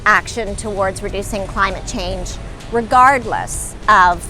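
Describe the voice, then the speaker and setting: A woman with a Canadian accent, a college teacher and entrepreneur speaking in a clear, businesslike way in a documentary interview. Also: mid-range voice, measured pace, bright, forceful tone, low-quality recording. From Ontario, Canada. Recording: music playing under the voice.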